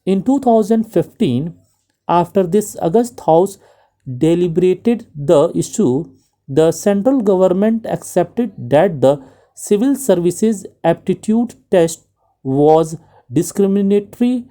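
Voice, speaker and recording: A woman with an Indian English accent reading a speech aloud as shorthand dictation, slowly and deliberately, phrase by phrase with pauses. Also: fairly low pitch, mellow. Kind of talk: slow measured dictation of a speech.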